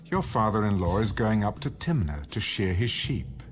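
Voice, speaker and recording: A man's voice: fairly low pitch, big pitch swings, moderate pace. A man reading scripture as the narrator of a dramatized audio Bible.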